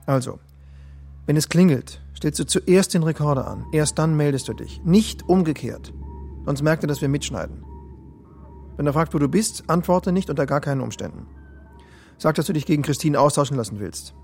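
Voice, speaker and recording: A level-headed technician in a radio play, slightly high, calmly explaining what to do, with faint music underneath. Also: male voice, normal speed, vocal fry. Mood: instructive. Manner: calm, instructive. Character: male communications expert, calm and practical.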